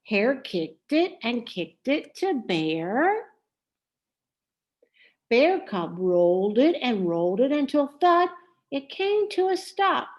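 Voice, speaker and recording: Animated, high-pitched woman reading a children's story. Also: muffled recording. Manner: deliberate, expressive. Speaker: storybook reader. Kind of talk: expressive children's story narration.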